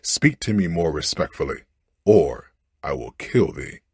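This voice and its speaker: A man puts on a gigantic, fierce genie from an old storybook. The voice is low and very breathy, swinging widely in pitch. It speaks in proud, imperious, archaic phrases. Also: big pitch swings, unhurried, dry recording, clean studio sound, full character voice. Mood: menacing. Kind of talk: storybook character read aloud.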